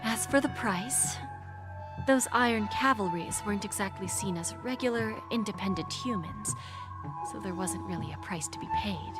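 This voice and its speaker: A woman voicing a poised, calculating pawn-shop owner in a dramatic video game, speaking in a measured, insinuating way that turns breathy at phrase ends. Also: medium pitch, lightly acted.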